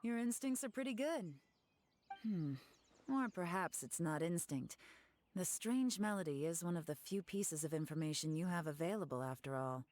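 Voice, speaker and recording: A woman's voice: fairly low pitch, moderate pace, darkish tone, lightly acted. An actress playing a confident, shrewd, teasing female investigator in a video game, poised and knowing. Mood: probing, teasing. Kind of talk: video game voice acting, storytelling and deduction.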